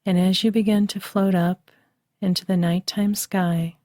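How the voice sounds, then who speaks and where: A woman leads a bedtime relaxation meditation in a soft, soothing voice. She speaks very slowly in a flat, even tone with a mellow, slightly low voice. The recording is dry and close, like a studio voice-over. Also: dry recording. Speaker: meditation guide.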